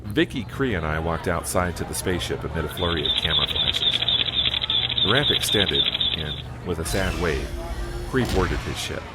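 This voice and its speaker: A man playing a tender, resigned husband in an audio drama, speaking and narrating in a subdued, gentle way. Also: expressive, moderate pace, over loud music, rough recording. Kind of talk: audio drama acting and first-person narration. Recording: loud background ambience, vehicle rumble.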